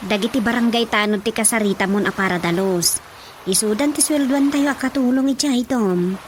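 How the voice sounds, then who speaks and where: A woman's voice: on the high side, moderate pace, slight background noise, close to an everyday voice. An actress playing a chatty, observant village woman in a conversational radio comedy, with an Ilocano accent and music behind. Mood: matter-of-fact. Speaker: drama actor.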